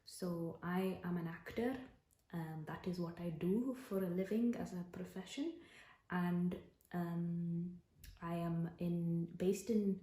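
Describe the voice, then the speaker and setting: Brief: woman, general Indian accent, soft half-whispered ASMR delivery, low warm voice, roomy echo. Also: steady tone, slow pace. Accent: general Indian, put on for the video. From Britain. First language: Punjabi. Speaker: actor and ASMR creator. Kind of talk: soft-spoken ASMR talk.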